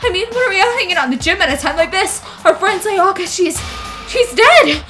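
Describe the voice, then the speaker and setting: A woman acts out a high-voiced, hysterical student in an anime game drama. The delivery is loud, tearful and wailing, jumping around in pitch over music. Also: animated, fast talker, heavily acted. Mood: wailing despair. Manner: wailing, loud, breaking into cries.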